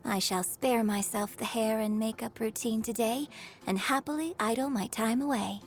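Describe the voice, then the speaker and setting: A woman's voice: a little high, steady tone, moderate pace, close to an everyday voice. A woman voicing a gracious young opera troupe director in a video game, with formal, poised and theatrical phrasing. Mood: rueful, playful. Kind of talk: scripted video game character lines. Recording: music playing behind the voice. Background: music.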